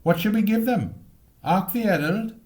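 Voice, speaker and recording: Man acting an eager, high-pitched young boy. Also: normal speed. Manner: eager, animated. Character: excitable, imaginative young boys plotting mischief.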